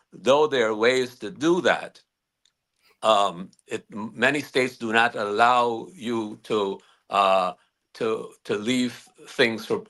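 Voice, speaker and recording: A man from Guyana who is a guest attorney, explaining legal matters in measured, halting answers with many restarts. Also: fairly low pitch, a bit bright.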